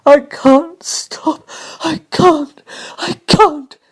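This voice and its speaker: A woman's voice: high-pitched, fairly even intonation, very slow, pitched above the performer's own voice. A woman voicing a sickly, hysterical boy who wails and sobs. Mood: sobbing, hysterical. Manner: wailing, choked sobbing.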